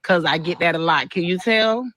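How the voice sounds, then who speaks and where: A woman's voice: fairly low pitch, normal speed, dry recording. A woman in her forties from Florida calling in to a live stream, chatty and eager. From Florida, USA. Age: 40s. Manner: excited, chatty, eager fan. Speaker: caller. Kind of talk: phone-in call on a live stream.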